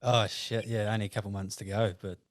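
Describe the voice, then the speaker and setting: Low, flat-voiced New Zealand host. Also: male voice, no echo, clean studio sound. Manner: halting, many "uh" fillers while reading out an introduction. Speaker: podcast host. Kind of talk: casual podcast interview and introduction.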